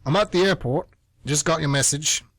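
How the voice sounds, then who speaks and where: A man's voice: a little high, normal speed, a bit bright, dry recording. A lovesick young man in denial, acted by a man in a radio play. He speaks tersely and earnestly, stubborn and defensive, recorded close with very little room around him. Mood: pleading, awkward. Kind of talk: stage-play dialogue read as radio theatre.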